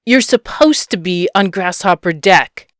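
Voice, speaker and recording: A woman's voice: fairly low pitch, expressive, a little slow, no echo, studio quality. A woman voicing an annoyed, bossy female housekeeping manager, enunciating each word with exasperation. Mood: shrill anger.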